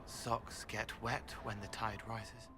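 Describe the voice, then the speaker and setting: An actor playing a strange, gentle, haunted young empath in a fantasy game, speaking in dreamy, riddling fragments. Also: male voice, fairly low pitch, little pitch movement, slow pace, over music. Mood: eerie, subdued. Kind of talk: fantasy video game dialogue acting.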